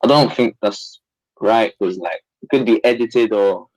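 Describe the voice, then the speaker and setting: A man on a video call who speaks hesitantly and sounds doubtful, often leaving his sentences unfinished. His voice sits fairly low. The recording is dry, with no room sound. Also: unhurried, a little mellow, no echo, rough recording. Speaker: caller. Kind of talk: casual call-in conversation.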